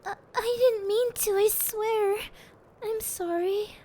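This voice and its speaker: A woman plays a sweet, timid young female assistant in an audio roleplay. Her voice is high yet mellow, and she talks slowly, meekly and apologetically, with noise audible behind her. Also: fairly even intonation. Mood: meek, apologetic. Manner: hesitant, meek.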